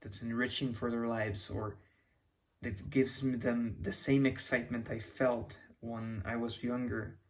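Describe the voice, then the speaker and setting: A man's voice: medium pitch, fairly even intonation, unhurried. A young man with a Mexican accent talking to camera in an unscripted, reflective ramble, often restarting and hunting for words. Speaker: YouTuber.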